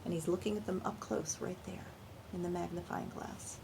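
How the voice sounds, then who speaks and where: A woman reading a picture book aloud to young children in an animated storytime style, pausing to ask questions and explain things simply. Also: on the low side, vocal fry, noisy background. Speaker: children's librarian and storytime reader.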